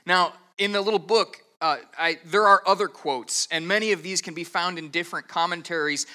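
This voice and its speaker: Bright-voiced man teaching a sermon. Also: high voice, brisk. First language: English. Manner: earnest, methodical teaching with occasional stammered restarts. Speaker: pastor. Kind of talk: expository sermon.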